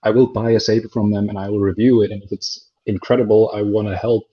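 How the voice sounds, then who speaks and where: A man's voice: on the low side, flat delivery, fast talker. Icelandic-raised male guest, no accent, easygoing, laughs a lot, muffled lo-fi audio. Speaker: guest, lightsaber reviewer. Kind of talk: casual chat. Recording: dull lo-fi sound.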